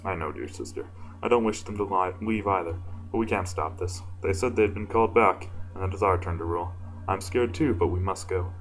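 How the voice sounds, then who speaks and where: A man voicing a gentle, earnest elder-sister pony princess in a story reading. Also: low-pitched, fairly quick, faint music behind, low-quality recording. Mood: gentle, sad.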